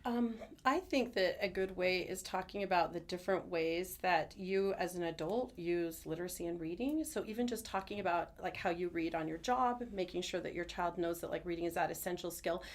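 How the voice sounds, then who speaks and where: A woman's voice: on the low side, fairly quick, few pauses. A middle-aged woman, a schoolteacher, chatting earnestly and enthusiastically as an interview guest. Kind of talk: conversational interview answers. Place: studio.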